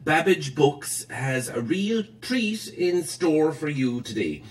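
Flustered, halting male event host. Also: fairly high pitch, big pitch swings. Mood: awkward, halting. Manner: hesitant, fumbling, repeats himself. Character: flustered, halting bookshop host introducing an author. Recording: off-mic, some distance away.

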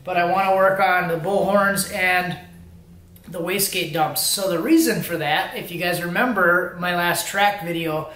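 A man's voice: high-pitched, normal speed, pushed and bright, slight background noise. A man in his thirties talking casually to the camera in a home garage, explaining things informally as a car-build vlogger. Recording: roomy, echoing garage sound.

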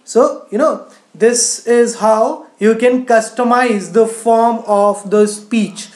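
Coding tutor, explanatory screen-share narration, medium pitch, echoing room. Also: slow pace. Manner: frequent "you know", explanatory. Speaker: coding teacher.

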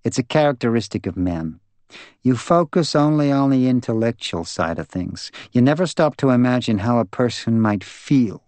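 A man's voice: mid-range voice, animated, normal speed, darkish tone, dry recording, voice pushed up, lightly acted. A man voicing a blunt, resentful older woman in an audiobook, scornful and sullen. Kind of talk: dramatised audiobook dialogue.

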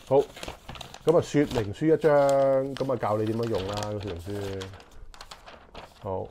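Chatty Hong Kong man, fairly low voice. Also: vocal fry, background noise. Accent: Hong Kong Cantonese. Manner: chatty, thinking aloud with many fillers. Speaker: camping gear reviewer. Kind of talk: casual unboxing talk. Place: small living room at home. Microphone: clip-on lapel mic.

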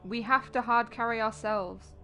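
Excitable young woman gaming with friends. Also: fairly high pitch, normal speed, soft background music. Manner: excitable, sweary, playful. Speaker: gamer on voice chat. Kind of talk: casual gaming banter.

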